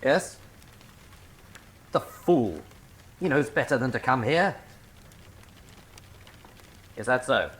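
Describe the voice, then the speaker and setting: A man's voice: mid-range voice, lively intonation, takes it slowly, long pauses, fairly bright tone. An actor playing a callous, curt colonel, a villain's henchman, in a radio drama. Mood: haughty.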